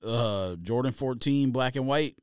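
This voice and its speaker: A man's voice: medium pitch, unhurried, darkish tone. A man co-hosting a casual podcast, bantering and reading out items with offhand, jokey comments. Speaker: sneaker podcast co-host.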